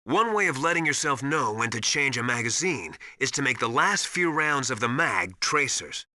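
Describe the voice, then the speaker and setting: An actor playing a stern veteran military survival instructor in a video game, briskly lecturing with emphatic, commanding authority. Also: male voice, mid-range voice, big pitch swings, bright, forceful tone, no room sound, studio quality. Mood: hard-nosed, commanding. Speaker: mission survival adviser. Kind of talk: video game voice acting, instructional radio briefings.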